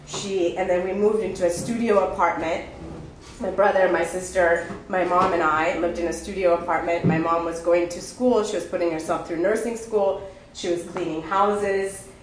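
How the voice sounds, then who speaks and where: A woman from Ethiopia giving an unprepared personal testimony at a recovery meeting, halting and candid with many fillers and restarts. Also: fairly low pitch, fairly even intonation, slight background noise. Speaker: speaker sharing her story at a recovery meeting. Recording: echoey room with faint ambience.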